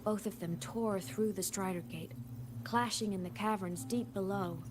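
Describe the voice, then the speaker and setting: A woman's voice: medium pitch, fairly even intonation, normal speed, background noise, close to an everyday voice. A woman voicing a young video game heroine with a theatrical, dramatic delivery and wounded bravado. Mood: brooding, dramatic. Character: young heroine, vengeful toward her foe, wounded bravado.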